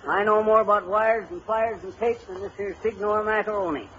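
An American actor playing a boastful, bumbling husband with folksy, cocky patter in an old radio comedy. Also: male voice, sits high, fairly even intonation, brisk, lightly acted. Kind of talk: 1930s-40s radio comedy acting. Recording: dull, noisy old radio recording.

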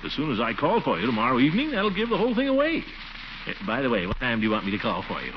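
A blustering, roly-poly middle-aged bachelor in an old radio comedy. His pitch swings wildly as he hems and frets, easily wounded. The recording is muffled and clearly noisy. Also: wildly swooping pitch, very fast. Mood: indignant, wounded. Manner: blustery, chuckling, hemming. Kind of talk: 1940s radio comedy acting.

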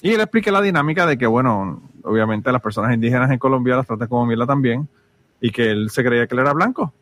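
A man's voice: medium pitch, lively intonation, quick pace, fairly bright tone. Man, casual podcast co-host, salty storyteller. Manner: storytelling, swears freely, many restarts. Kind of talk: casual chat.

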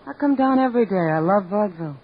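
An actress playing a blunt, cool-mannered young woman with a breathy voice, in 1940s radio acting. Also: mid-range voice, expressive, fast. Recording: muffled old radio recording.

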